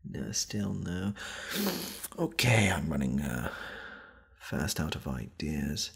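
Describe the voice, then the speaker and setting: A British man playing an awkward, needy shop worker in a comedy ASMR roleplay, speaking in a hesitant, stammering, repetitive way with a soft, husky, gravelly voice. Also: a bass voice, expressive, slow, heavily acted. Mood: flustered, pleading. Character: awkward, needy male shop worker clumsily propositioning a customer.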